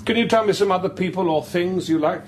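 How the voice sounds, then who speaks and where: A man playing a gentle, probing priest in a radio drama, speaking thoughtfully with careful questions and pauses. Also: high-pitched, slight background noise, close to an everyday voice. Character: gentle, probing priest, an investigator sent from Rome. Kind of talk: naturalistic radio drama acting.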